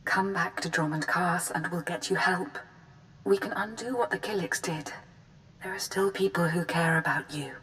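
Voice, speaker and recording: A woman playing a hesitant, pleading former sweetheart, halting and earnest, in scripted game dialogue. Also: fairly low pitch, fairly bright tone, close to an everyday voice. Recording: faintly noisy recorded message.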